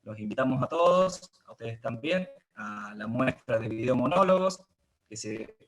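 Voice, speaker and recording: Halting Argentine man hosting a talk. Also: medium pitch, lively intonation. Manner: hesitant, halting, searching for words. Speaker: host of an online theatre talk. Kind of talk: informal spoken closing remarks and moderation.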